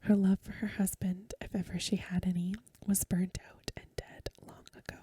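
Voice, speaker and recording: A woman reading a story aloud as soft-spoken ASMR, in a gentle, hushed, whispery voice close to the microphone. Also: mid-range voice, level tone, normal speed. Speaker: ASMR host.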